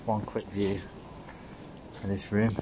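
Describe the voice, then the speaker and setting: A man exploring an abandoned building, muttering quick asides to camera in a casual vlog. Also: fairly low pitch, level tone. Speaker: urban explorer vlogger. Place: abandoned brewery building, indoors and rooftop. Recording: noisy handheld camera audio.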